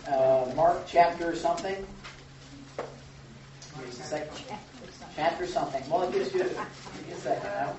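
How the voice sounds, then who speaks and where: A man's voice: on the high side, a little slow, pauses often, darkish tone, highs cut off. Guy teaching a bible study, chatty, asks questions, far off in an echoey room. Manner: conversational, asks the group questions, chuckles often. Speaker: pastor. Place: church room with a group.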